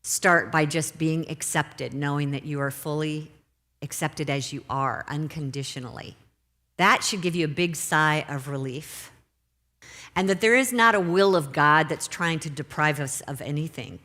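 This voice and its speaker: A middle-aged woman delivers a sermon from a church pulpit, her voice pitched low. She speaks gently and without hurry, drawing out key phrases to let them land. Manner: gentle, unhurried, slows for emphasis. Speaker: minister. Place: church sanctuary.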